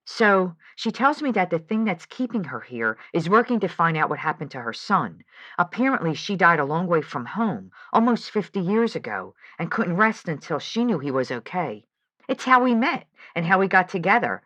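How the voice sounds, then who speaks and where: A woman's voice: on the low side, sing-song, normal speed, fairly bright tone, no echo. Woman playing an earnest, swooping-voiced lovelorn radio caller. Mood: earnest, fond. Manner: hesitant, emotionally open. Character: earnest, lovelorn female librarian, anxious and hesitant. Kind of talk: scripted audio drama.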